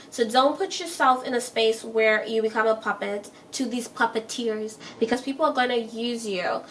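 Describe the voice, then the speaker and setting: A woman's voice: a little high, fairly bright tone, recorded from a distance, slight background noise, low-quality recording. A young woman talking to her viewers in a chatty, emphatic way, repeating her points and laughing as she gives self-help advice. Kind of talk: casual advice monologue.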